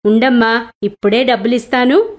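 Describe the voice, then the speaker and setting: A woman's voice: on the high side, fairly even intonation, normal speed, close to an everyday voice. Woman reading as an earnest, fatherly older merchant. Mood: questioning. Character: devout wealthy merchant, fatherly older man with grown daughters-in-law.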